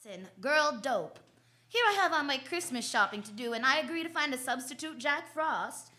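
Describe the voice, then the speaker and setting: Actress as wry gal sleuth, 1940s radio drama. Bright, forceful, fairly high voice, breezy and confident. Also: female voice, animated, moderate pace. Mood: breezy, confiding. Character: wisecracking, confident woman sleuth narrating her case. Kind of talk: 1940s radio detective acting.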